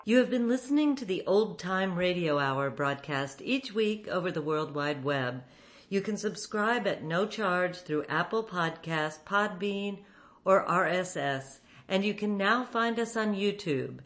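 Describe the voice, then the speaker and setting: A woman hosting an old-time radio podcast, reading a scripted outro in a measured sign-off manner. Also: on the low side, animated. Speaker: podcast host.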